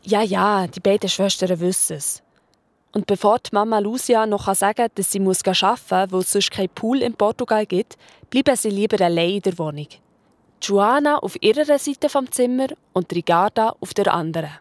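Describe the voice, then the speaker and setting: A woman's voice: mid-range voice, moderate pace, no echo. A woman with a Swiss accent narrating a children's story in a colloquial style.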